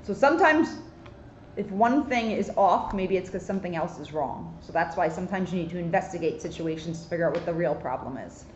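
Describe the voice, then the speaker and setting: A woman's voice: lowish voice, expressive, fairly quick. A woman police officer reading a picture book aloud expressively, with chatty, casual asides. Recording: roomy echo, muffled, faint noise.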